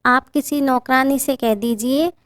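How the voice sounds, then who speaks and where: A woman's voice: high voice, fairly even intonation, measured pace, a bit bright, clear, resonant tone, dry recording, clean studio sound. Padma, a woman doing a spoiled young bride. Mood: haughty. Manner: demanding, whiny, entitled. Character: spoiled young bride acting like a haughty queen.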